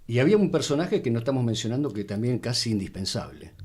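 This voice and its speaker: A man's voice: mid-range voice, sing-song, quick pace, a little mellow, slightly rough. An Argentine man, a radio actor, explaining things didactically in conversation with short tag questions.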